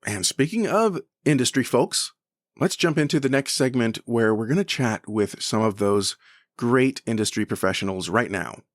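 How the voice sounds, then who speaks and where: A man's voice: medium pitch, animated, moderate pace, no echo, clean studio sound. A man hosting a podcast in a conversational, enthusiastic manner. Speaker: podcast host.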